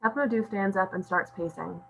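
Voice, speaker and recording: A woman's voice: mid-range voice, fairly quick, vocal fry, a bit bright, rough recording. A woman reading stage directions as scripted narration at a table read.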